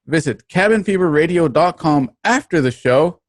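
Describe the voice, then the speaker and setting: A man's voice: on the high side, lively intonation, moderate pace, soft background music. A man reading a scripted sponsor promotion as an announcer.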